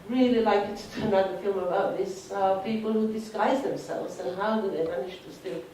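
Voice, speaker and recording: A woman's voice: medium pitch, fairly even intonation, brisk, mellow. Earnest woman speaking from afar in a hall. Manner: earnest, moved. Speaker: audience member. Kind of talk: emotional audience comment. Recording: echoey hall, distant, some noise.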